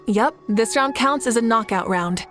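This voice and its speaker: A woman's voice: on the high side, normal speed, fairly bright tone, lightly acted. A woman voicing a confident young tournament organizer in a video game, upbeat and self-assured. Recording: game audio with music behind.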